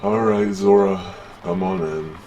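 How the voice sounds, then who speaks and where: Guy doing a stern tired monster agency official, super low slow voice, asmr roleplay. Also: fairly even intonation, mellow, slight background noise. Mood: businesslike, conceding. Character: weary, official male monster-placement agent, stern and matter-of-fact.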